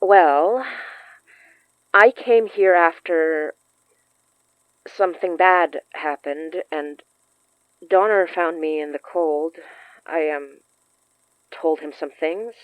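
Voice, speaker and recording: Casting: shy, shaken young woman for a podcast drama; slow, halting confessional delivery, close and dry recording. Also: deep voice, no room sound, dull audio. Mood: hesitant, confiding. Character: shaken young woman, earnest, shyly confessing to her best friend. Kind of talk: naturalistic fiction podcast acting.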